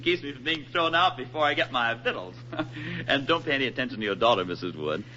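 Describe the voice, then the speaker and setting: Bright, lively young soldier. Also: male voice, medium pitch, brisk, a little hiss or hum. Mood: breezy, affable. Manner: jokey, breezy, wisecracking. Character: affable joking young American soldier on leave. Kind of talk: 1940s radio drama acting. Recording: dull 1940s radio broadcast recording.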